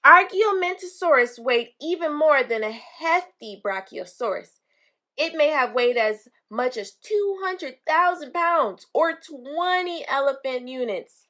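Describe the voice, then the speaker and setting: Slow, higher-voiced woman reading to children. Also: lively intonation, sharp tone. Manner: explains simply, addressing young listeners. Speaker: read-aloud storyteller for children. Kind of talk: children's picture book read-aloud with simple explanatory asides.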